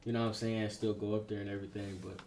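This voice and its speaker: A young man from Detroit, a rapper, talking about his music in a relaxed, slangy podcast chat. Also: on the low side, rapid-fire, a little hiss or hum. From Detroit, USA. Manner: laid-back, slangy, repeats "you know what I'm saying". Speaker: rapper being interviewed.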